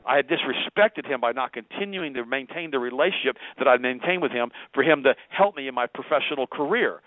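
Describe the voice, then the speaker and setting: A quick-talking man in his fifties, native German speaker, tells a long story in an earnest, rambling way. He comes through a dry, tinny phone line. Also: mid-range voice, expressive, no echo. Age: 50s. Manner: rambling, repeats words, earnest. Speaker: guest. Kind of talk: personal story on a talk radio show.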